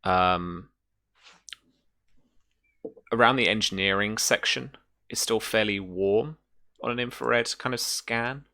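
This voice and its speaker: A low-pitched man with a bright, forward voice runs a tabletop roleplaying game. He explains rules and scenes, often pausing to work out his wording. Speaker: game master.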